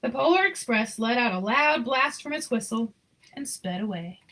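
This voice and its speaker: A woman storyteller reads a picture book to children in a high, bright voice. Her delivery swings widely in pitch and leans into key phrases with drama. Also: moderate pace, slight background noise, low-quality recording. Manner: dramatic, animated, emphatic phrasing. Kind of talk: expressive children's read-aloud with casual asides.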